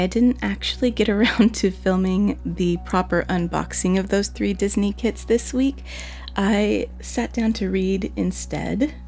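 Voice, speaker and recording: Chatty woman with a hoarse voice. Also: medium pitch. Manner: chatty, excitable, laughs often. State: hoarse, sore voice. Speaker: vlogger. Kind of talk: casual vlog chat. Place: home, indoors.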